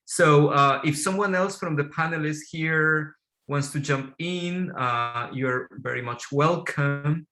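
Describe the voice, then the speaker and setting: A man moderating an academic panel off the cuff, hesitant and pausing between phrases. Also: fairly high pitch, pushed and bright.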